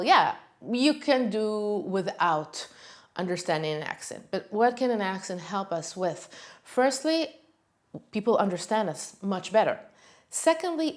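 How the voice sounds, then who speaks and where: A woman with an Israeli accent teaches in a casual, upbeat way. Her voice sits at a mid pitch and jumps up on the words she stresses. Hebrew is her first language. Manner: upbeat, emphatic, talks with lively pitch jumps on key words. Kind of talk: casual video lesson.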